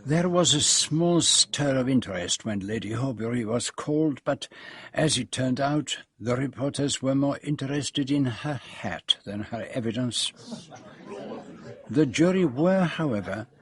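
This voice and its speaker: An actor playing a famous foreign detective in a radio drama, with a French accent, precise, courteous, self-assured and dryly witty. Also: male voice, medium pitch, lively intonation, unhurried. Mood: measured narration. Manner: precise, courteous, sprinkles speech with French phrases. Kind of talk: radio drama dialogue and narration.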